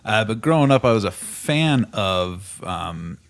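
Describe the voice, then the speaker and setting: A man co-hosting a casual livestream podcast, relaxed and self-deprecating. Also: fairly low pitch, unhurried. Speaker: podcast co-host.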